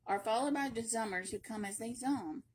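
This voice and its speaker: Expressive higher-voiced woman reading aloud. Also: moderate pace. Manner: playful, expressive, emphatic with repetition and dramatic hushes. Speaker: library read-aloud reader. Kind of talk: animated children's picture book reading with playful asides.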